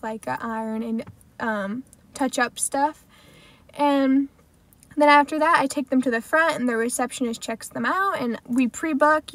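A woman's voice: high voice, fairly bright tone. A woman in her twenties with an American accent talks casually to camera in a car, in a chatty way peppered with "like". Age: 20s. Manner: chatty, frequent "like" as filler. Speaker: salon assistant vlogger. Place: inside a parked car.

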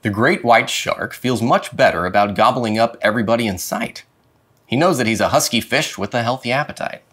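A man reading a children's picture book aloud with dramatic, expressive phrasing. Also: medium pitch, brisk, slightly rough. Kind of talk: expressive storybook read-aloud. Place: indoor studio with plain dark backdrop.

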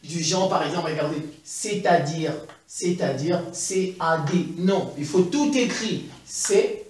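Echoey Central African French teacher. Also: male voice, a little high, a little slow, distant mic. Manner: animated, gesturing, repeats points with frequent "eh ben, voilà". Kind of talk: chalkboard lesson. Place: classroom in front of a chalkboard. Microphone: far camera. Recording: very echoey room, voice from afar.

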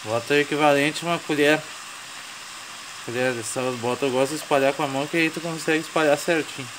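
A man's voice: on the high side, steady tone, quick pace. A man with a southern Brazilian accent giving a colloquial, jokey, explanatory cooking chat as a home cook. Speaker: home cook presenting a recipe. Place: home kitchen beside a sizzling pan. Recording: loud frying sizzle and stirring noise.

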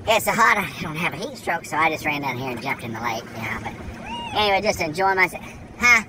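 A man rambles into a phone held at arm's length, playing a self-important tour guide. His pitch swings widely from line to line. Wind and passing cars hiss behind him on the outdoor phone recording. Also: brisk. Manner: rambling, animated, talks to people off camera. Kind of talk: rambling comedic monologue to camera. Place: outdoors, by a lake, then indoors in a truck cab.

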